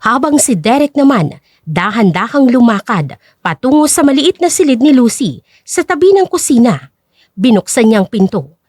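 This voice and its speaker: A woman's voice: on the high side, sing-song, moderate pace, a little mellow, dry recording, studio quality. A woman reading scripted dramatic story narration as the narrator.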